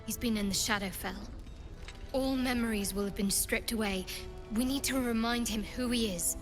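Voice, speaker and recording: A woman's voice: on the high side, moderate pace, music in the background, lightly acted. A woman playing a level-headed, wry adventurer in a fantasy video game. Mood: thoughtful.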